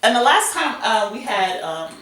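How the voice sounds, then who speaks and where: A woman's voice: on the high side, big pitch swings, fairly bright tone. An American woman hosting a casual interview, chatty and upbeat. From United States. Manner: chatty, upbeat, quick to laugh. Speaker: interview host. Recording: echoey room, distant mic, faint noise.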